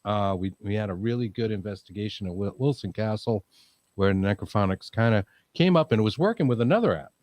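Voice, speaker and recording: A man's voice: lowish voice, lively intonation, brisk, a little mellow, no room sound. A man hosting a casual podcast chat, easygoing, with many fillers and easy laughs. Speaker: podcast host.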